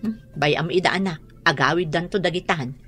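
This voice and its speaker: A woman's voice: fairly low pitch, normal speed, a bit bright, faint music behind, close to an everyday voice. A woman playing an evasive, stubborn wife and mother in a radio drama, with an Ilocano accent. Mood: brushing off.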